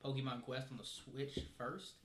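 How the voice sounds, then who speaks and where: A man's voice: mid-range voice, flat delivery, moderate pace, fairly bright tone. A man co-hosting a podcast in casual panel chat, recorded with roomy echo and some noise. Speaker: co-host of a gaming news podcast.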